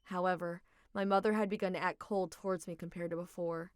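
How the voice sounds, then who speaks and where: A young adult woman narrating a manga dub story in a steady, reflective reading. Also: lowish voice, brisk, a little hiss or hum.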